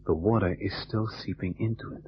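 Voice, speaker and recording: A dull, noisy vintage radio recording of a man playing a wisecracking private eye. His voice is low and he gives orders in tough, slangy bursts, in the style of old radio detective drama. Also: fairly quick, lightly acted. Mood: hushed, urgent. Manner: clipped tough-guy slang, calls partner baby. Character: tough, quick-witted male private detective, wisecracking and bossy.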